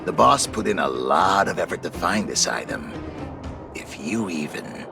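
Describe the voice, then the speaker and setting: An actor playing a greedy petty thief in a video game, theatrical, taunting and wheedling. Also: male voice, low voice, animated, a little slow, gravelly, over music, heavily acted. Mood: menacing, scornful. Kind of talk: animated video game voice acting.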